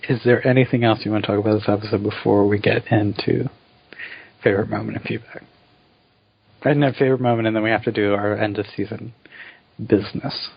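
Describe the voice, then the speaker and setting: A man co-hosting a podcast, thinking out loud and moving the talk between segments. His voice sits close and dry. The recording is dull and lo-fi. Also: lowish voice, fairly even intonation, fast talker, gravelly, breathy edge, no room sound. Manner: thoughtful, leads the show's segments. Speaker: podcast co-host. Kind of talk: casual chat.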